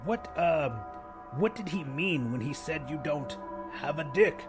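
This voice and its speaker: A man's voice: on the high side, expressive, measured pace, over music. Man as a polite, bewildered older professor. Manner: formal, hesitant. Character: polite, bookish 62-year-old English professor, bewildered.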